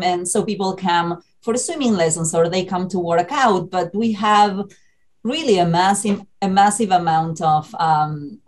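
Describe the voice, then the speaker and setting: A woman's voice: mid-range voice, darkish tone. A woman from Argentina with an Argentine Spanish accent, answering interview questions as a programming manager in an earnest, explanatory way with many ums and uhs. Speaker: community centre programming manager.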